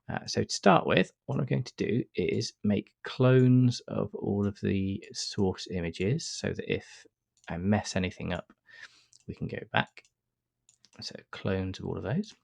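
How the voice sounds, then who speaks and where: A man with a cold and a stuffy nose, calmly talking through a software tutorial step by step with frequent uh fillers. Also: on the low side, creaky, no echo. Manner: relaxed step-by-step explaining with many uh fillers. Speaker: astrophotography tutor. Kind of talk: screen-recorded software tutorial.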